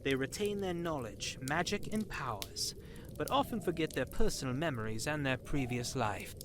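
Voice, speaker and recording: A woman's voice: extremely low pitch, expressive, normal speed, noisy background. A woman voicing an eager, easily shocked and informal friend in a fantasy audio drama. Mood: eager explaining.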